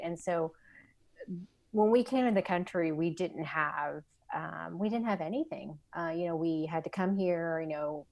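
A woman's voice: deep voice, no room sound. Earnest woman in her forties, founder guest. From Vietnam, raised in the United States. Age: 40s. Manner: earnest, many fillers. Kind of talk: conversational interview.

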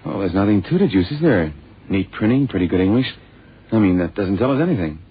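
Husky, skeptical detective sidekick. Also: male voice, sits low, lively intonation, fast talker, full character voice. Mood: matter-of-fact. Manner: casual, hesitant, questioning. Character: skeptical, easygoing male junior detective sidekick. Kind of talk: 1960s-70s radio drama acting. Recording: muffled, tinny old radio recording.

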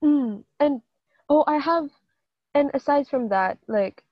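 A young woman from Hong Kong chatting casually with her sibling, animated and full of exclamations. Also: high voice, slow pace, no room sound, lo-fi sound. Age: late teens. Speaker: co-host, sister. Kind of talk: casual chat.

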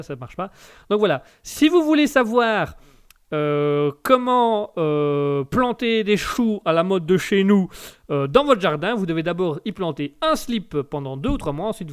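A playful man hosting a late-night live stream, riffing on chat messages. His voice sits fairly high for a man, and he stumbles through restarts and fillers as he jokes along. The tone is loose and chatty. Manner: playful, joking, hesitant with many fillers and restarts.

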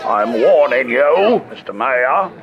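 Gravelly male police chief, authoritative and threatening, swooping delivery over a noisy crowd. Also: mid-range voice, sing-song, slow, lo-fi sound. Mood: stern, threatening. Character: gruff, bossy male old-time American police chief. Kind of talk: radio drama acting. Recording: noisy outdoor crowd scene.

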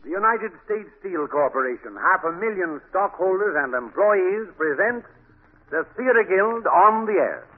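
A man announcing a 1940s radio program in a formal, grand and deliberate style. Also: high voice, animated. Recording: tinny 1940s radio recording, music behind. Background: music.

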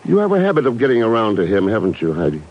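Low-voiced cool radio secret agent. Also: male voice, wildly swooping pitch, very fast. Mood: terse, guarded. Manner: dry, understated, matter-of-fact. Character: cool, unflappable male secret agent, deducing and dry. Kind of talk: 1950s radio drama acting. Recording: muffled, noisy 1950s radio recording.

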